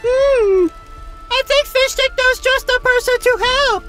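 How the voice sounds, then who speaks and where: A naive young fish prince, played by a woman, chirps in a very high, squeaky voice. He earnestly refers to himself in the third person, sounding sweet and childlike, with music playing behind. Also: measured pace. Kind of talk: fan-made animated short film.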